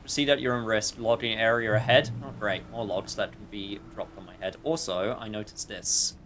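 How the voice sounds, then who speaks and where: A man's voice: fairly low pitch, steady tone, normal speed, fairly bright tone, a little hiss or hum. A man livestreaming a video game with casual chat and wry, joking, sometimes sweary commentary.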